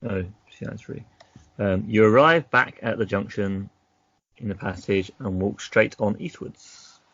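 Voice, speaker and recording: A man's voice: lowish voice, unhurried, a bit bright, dry recording, highs cut off. A man narrating an adventure gamebook as game master, casually, breaking off into chatty asides.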